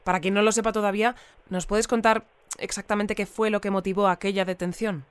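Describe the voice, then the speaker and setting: An actress plays a composed female radio newsreader with an accent from Spain. Her voice is very clear and smooth, recorded dry. She reads and interviews in a formal, orderly way, as in a scripted audio drama bulletin. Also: medium pitch, fairly even intonation, brisk, no echo, natural voice. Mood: attentive, businesslike. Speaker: news bulletin presenter.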